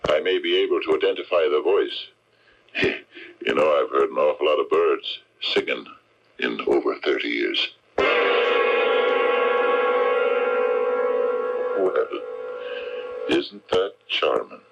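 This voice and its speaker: A middle-aged man playing a seasoned, suspicious private detective in a radio mystery, wry and blunt with a gravelly voice. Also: very deep voice, expressive, unhurried, muffled recording, heavy background noise, full character voice. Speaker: radio drama actor. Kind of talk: 1970s radio mystery acting.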